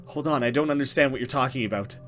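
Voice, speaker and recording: A man's voice: medium pitch, fast, a bit bright, faint music behind, natural voice. A man voicing a protective, earnest young woman full of questions in a horror visual novel. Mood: confused, doubtful.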